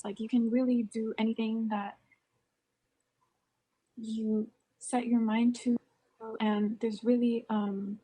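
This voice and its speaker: Slow, mellow-voiced young woman. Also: a little high, rough recording. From United States. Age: young adult. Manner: hesitant, many ums and restarts. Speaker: student guest. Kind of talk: casual interview answers.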